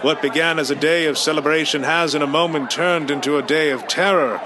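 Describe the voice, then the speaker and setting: A man's voice: fairly high pitch, fairly quick. A man narrating a radio drama serial in a dramatic, announcer-style voice. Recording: radio drama with background hiss.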